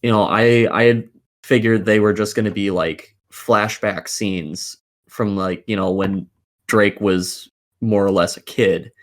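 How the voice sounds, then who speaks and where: A man's voice: on the low side, fairly even intonation, unhurried. A man hosting a casual gaming podcast, opinionated and swearing freely as he talks. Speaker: podcast host.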